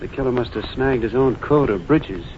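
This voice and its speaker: An actor playing a plain-spoken highway patrolman in a 1940s radio drama, talking casually. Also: moderate pace, slight background noise, natural voice. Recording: muffled 1940s radio broadcast.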